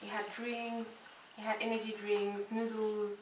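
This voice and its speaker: A woman from Nigeria, a medical student, telling a lively story in casual chat. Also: mid-range voice, unhurried, slight background noise. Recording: echoey room, far from mic.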